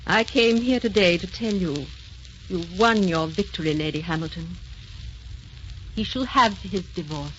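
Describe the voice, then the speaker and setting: An actress playing a proud, wronged and resigned wife in an old-time radio drama, formal and restrained. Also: female voice, on the low side, a little slow, fairly bright tone. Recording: muffled, noisy old radio recording.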